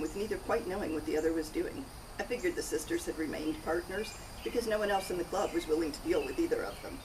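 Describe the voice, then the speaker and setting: Woman reading a novel, distant noisy recording. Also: low voice. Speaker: author reading aloud from own novel. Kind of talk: first-person audiobook-style narration of a cozy mystery. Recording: distant mic, loud outdoor noise, birds, crickets.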